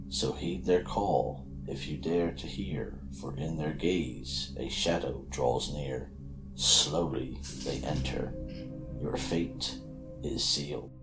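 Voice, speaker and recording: A man with a very low voice reads a scary story as a podcast narrator. His delivery is measured and theatrical, built for suspense, with music playing behind him. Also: rough recording. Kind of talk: dramatic scripted horror story narration.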